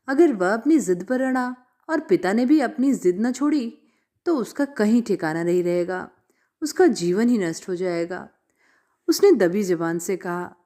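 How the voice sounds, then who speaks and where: Woman storyteller, slightly high, mellow tone, expressive measured narration.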